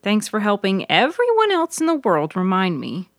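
A woman voicing a lively, teasing woman in an audio story, with a casual and animated manner. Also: mid-range voice, normal speed, dry recording, clean studio sound, voice pushed up. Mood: exasperated.

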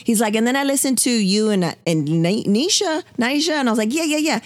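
A woman's voice: on the high side, lively intonation, a little fast, no echo. A woman in her thirties from San Diego, co-hosting a podcast in casual chat, slangy and laughing often. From South Bay San Diego. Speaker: podcast co-host.

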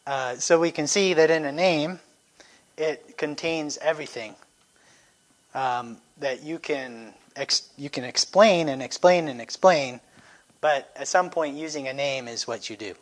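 A man's voice: a little high, unhurried, long pauses. A middle-aged man teaching a Sunday school class into a microphone, talking conversationally with fillers and self-corrections. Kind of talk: church class lesson. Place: church classroom. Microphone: handheld or stand microphone.

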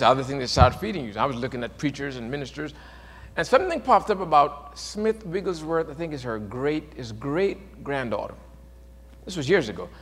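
Man preaching, high voice, soft to shouting, long hall reverb. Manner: swings from soft to shouted, rising to emphatic peaks. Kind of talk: sermon.

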